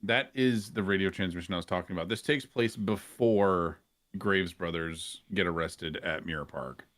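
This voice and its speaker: A man's voice: low voice, normal speed, a bit bright. A man chatting casually as a panellist on a streamers' podcast. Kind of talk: casual chat.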